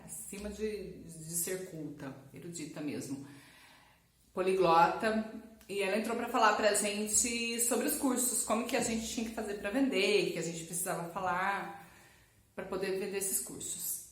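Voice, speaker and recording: Brazilian woman chatting in an echoey room. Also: medium pitch. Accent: Brazilian Portuguese. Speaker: language teacher and vlogger. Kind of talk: casual personal storytelling to camera. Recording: echoey, roomy sound.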